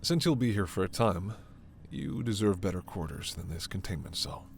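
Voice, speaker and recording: A man playing a gentle, authoritative alien doctor in a sci-fi ASMR roleplay, speaking in a measured, formal and reassuring way. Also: low voice, animated, vocal fry, very noisy. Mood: grave, soothing. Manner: measured, formal, reassuring, soft-spoken asides. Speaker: voice performer.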